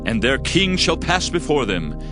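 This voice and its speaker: A man's voice: mid-range voice, very animated, unhurried. A man narrating scripture as a dramatized reading, solemn and oratorical in delivery. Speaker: audio Bible narrator. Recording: music behind the voice.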